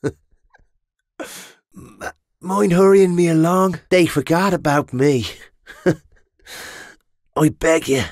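A man's voice: a little high, drawn-out words, pauses often, a little mellow, dry recording, clean studio sound. A man with an Irish accent playing a brash, money-minded medieval mercenary in a video game, roguish and theatrical. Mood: weary, begging death. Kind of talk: game combat barks.

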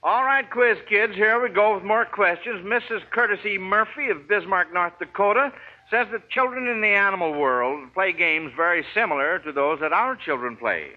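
An American man hosts an old radio quiz with a folksy, avuncular manner. His voice is notably high for a man. The recording is a dull, vintage 1940s broadcast. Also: moderate pace. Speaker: quiz show host.

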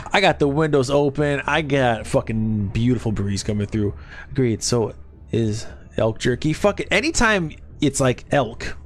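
Southern-ish guy from arkansas, 30s, swears a lot, chill stream banter at his desk. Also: mid-range voice. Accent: light Southern US. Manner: loose, profane, laid-back banter. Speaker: Twitch streamer. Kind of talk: casual live-stream chat with chat. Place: home gaming room.

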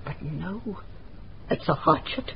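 Radio drama: morbid Scottish housekeeper, drawn-out delivery, lo-fi sound. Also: female voice, mid-range voice, very animated, creaky, heavily acted. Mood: haunted, fretful. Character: gloomy rat-obsessed Scottish housekeeper, a married woman. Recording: dull lo-fi radio recording, background hiss.

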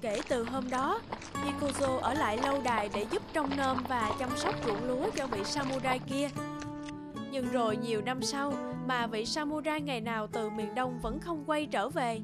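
A woman's voice: sits high, moderate pace. A woman reading scripted fairy-tale narration as the storyteller, with music behind her.

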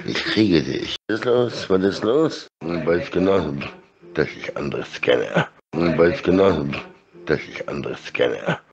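Very deep-voiced middle-aged man, rambling insistently. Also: lively intonation, takes it slowly, creaky, a crowd in the background. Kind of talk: rambling, sexually explicit monologue. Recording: dull lo-fi clips.

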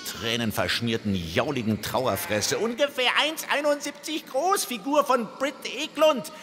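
An actor in a radio play playing the devil's exasperated confidant, scolding and sarcastic. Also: male voice, mid-range voice, pushed and bright. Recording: long reverb, faint background noise.

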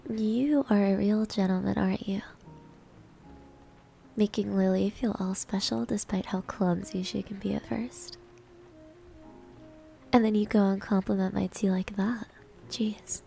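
A woman acts out a proud tsundere maid in an ASMR roleplay. Her medium-pitched voice is soft, breathy and creaky, often dropping to a whisper. She sounds coy, grudging and flustered. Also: steady tone, a little slow, pauses often, soft background music.

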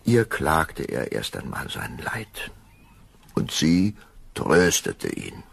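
Fairy-tale narrator, male: unhurried, husky with gravelly edges, expressive storytelling delivery. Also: extremely low pitch. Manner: unhurried, expressive, storybook cosy address to listeners.

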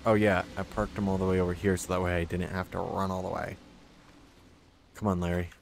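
A man narrating his gameplay in a casual, muttering way, his voice going hoarse and now and then breaking into loud frustrated outbursts. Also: low-pitched, level tone, fairly quick, a little hiss or hum. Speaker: gaming channel host.

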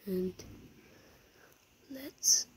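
A woman with a croaky voice, chatting in half-whispers while commenting on a mobile game she plays. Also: extremely slow, slight background noise. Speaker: gameplay commentator.

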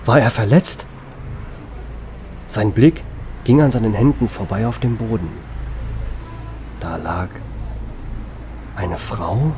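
Male horror narrator, slightly low pitch, suspenseful and dramatic, with audible background noise.

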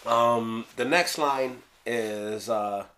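A Jamaican man in his thirties casually explaining his own rap lyrics in a small room, playful and slangy, sometimes dropping to a hush. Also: medium pitch, extremely slow. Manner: playful, slangy, drops into hushed asides. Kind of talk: casual solo video commentary. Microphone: studio mic on stand.

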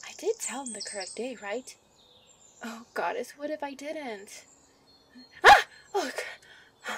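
A woman voicing a shy, sweet young fairy in an ASMR roleplay, with a soft, coy, flustered manner. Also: a little high, a little hiss or hum. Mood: anxious. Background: birdsong and outdoor ambience.

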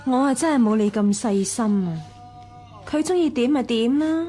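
An actress playing a weary, aggrieved senior wife of a household in a radio drama. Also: female voice, fairly high pitch, expressive, normal speed, warm tone, soft background music, natural voice. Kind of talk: Cantonese radio drama acting.

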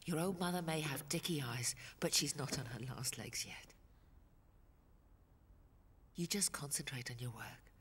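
An actress playing a frail, tender but feisty elderly British mother in a video game, with a breathy voice. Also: low-pitched, a little slow, long pauses, exaggerated voice. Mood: fond, feisty. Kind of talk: video game cutscene drama.

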